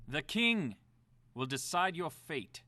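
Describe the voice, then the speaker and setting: An actor playing a stern captor loyal to his king in a game cutscene, gravelly and curt. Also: on the high side, sing-song, slow, bright, forceful tone, full character voice. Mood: stern, cold. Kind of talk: dramatic video game cutscene acting.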